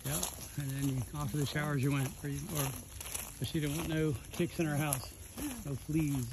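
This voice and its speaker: A man's voice: fairly high pitch, flat delivery, moderate pace. Laid-back man chatting on a forest hike. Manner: easygoing, reminiscing, narrates the trail. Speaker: vlogger. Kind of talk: casual chat on a hike. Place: outdoors on a wooded trail. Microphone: phone camera held while walking. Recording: clear outdoor noise.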